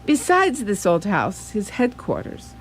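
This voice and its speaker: A woman playing a wise, grave old male professor who hunts vampires, speaking formally and deliberately in a radio drama. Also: mid-range voice, big pitch swings, a little hiss or hum. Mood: cautionary, solemn.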